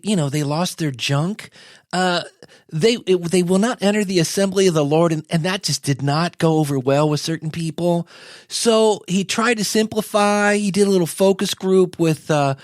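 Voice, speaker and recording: Guy doing a laid-back modern messiah on a comedy podcast, high voice, folksy and kinda halting, dry sound. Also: no room sound, lightly acted. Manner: hesitant, folksy, conversational. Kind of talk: casual comedic interview chat.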